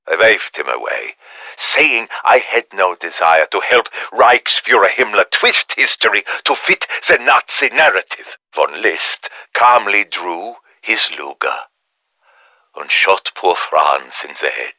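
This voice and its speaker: An actor playing a grave, weary, middle-aged German professor in a video game, with a German accent, speaking in measured, solemn, formal phrases as in a dramatic memoir. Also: male voice, low voice, pushed and bright, no room sound, highs cut off, exaggerated voice. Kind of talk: dramatic scripted audio memoir.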